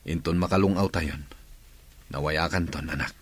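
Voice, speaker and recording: A man's voice: rumbling low, normal speed. A man playing a worried, earnest father with an Ilocano accent. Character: worried middle-aged father. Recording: noisy background.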